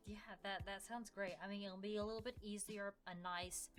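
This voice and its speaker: A woman's voice: mid-range voice, fairly even intonation, brisk, faint music behind. A woman co-hosting a podcast in character as a fey demon, telling family stories in an informal, hesitant way. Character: fey demon woman gossiping about humans. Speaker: podcast co-host.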